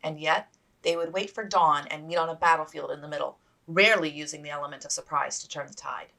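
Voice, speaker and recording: A woman's voice: deep voice, fast, vocal fry, pushed and bright, recorded from a distance. A woman reading scripted fiction aloud as an author narrating a period novel.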